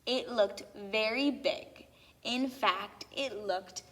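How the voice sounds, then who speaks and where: A woman reading a children's story aloud with expressive, theatrical emphasis on lists and sound words. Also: medium pitch, slow pace. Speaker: storybook reader. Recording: long hall-like reverb.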